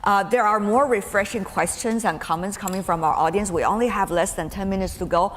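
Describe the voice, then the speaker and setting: A woman moderating a panel in a brisk, businesslike way as she opens the floor to questions. She is heard in a large, echoing hall, with a faint room hum behind her. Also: medium pitch, fairly bright tone. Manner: brisk, directs audience questions. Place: conference hall.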